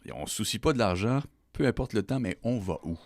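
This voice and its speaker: Male radio host, playful, lively pitch, dry booth. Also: quick pace, dry recording. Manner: playful, teasing. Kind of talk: radio interview, rapid-fire questions.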